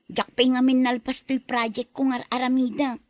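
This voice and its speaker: A woman's voice: sits high, flat delivery, moderate pace, a little mellow, no room sound, close to an everyday voice. A woman playing a studious, slightly impatient older sister in an Ilocano radio drama. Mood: mildly impatient.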